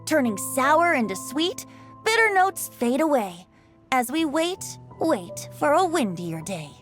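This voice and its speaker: A young male bard in a video game, theatrical and playful. The voice is high and swoops expressively, with a poetic lilt. Faint music sits behind it. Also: big pitch swings, a little slow.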